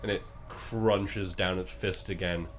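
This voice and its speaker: A man working as game master, improvising descriptive narration for a tabletop game in a halting way. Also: sits low, fairly even intonation, slight background noise.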